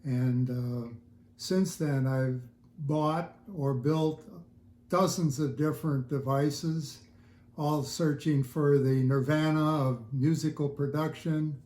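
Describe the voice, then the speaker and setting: Male hobbyist, seventies, relaxed and slightly higher-pitched voice, halting interview delivery, recorded in an echoey home room. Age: 70s. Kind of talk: casual interview answers.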